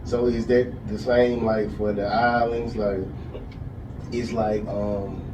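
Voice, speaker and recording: A man's voice: fairly low pitch, little pitch movement, takes it slowly. A man hosting a casual interview podcast, asking laid-back, slangy questions. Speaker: podcast host. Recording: echoey room, distant mic, background noise.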